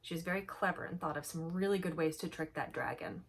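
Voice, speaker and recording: A woman storyteller reading a picture book to young children, expressive and emphatic, chatting to them with questions. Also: lowish voice, quick pace. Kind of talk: animated storybook read-aloud with commentary to young children.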